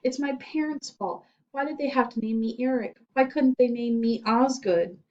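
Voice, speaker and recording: A woman's voice: a little high, moderate pace, a little mellow, a little hiss or hum, voice pushed up, natural voice. A timid, tearful schoolboy from a children's storybook, acted out by a woman. He speaks in a plaintive, anxious way, eager to please. The recording sounds dull and lo-fi. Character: timid, tearful schoolboy lamenting his bad luck. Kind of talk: children's storybook read-aloud.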